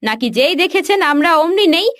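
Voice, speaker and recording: A woman's voice: very high-pitched, expressive, brisk, pitched above the performer's own voice. A woman voicing a harsh, domineering daughter-in-law in a cartoon, with a scolding, scornful manner. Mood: scornful, accusing. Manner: scolding, sharp-tongued.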